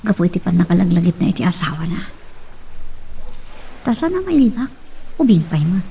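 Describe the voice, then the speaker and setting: A woman's voice: lowish voice, very animated, brisk, vocal fry, dark tone, slight background noise. A woman with a Filipino accent acting a modest, uneasy nurse in a radio drama. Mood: pensive. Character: modest, uneasy nurse working abroad for her employer.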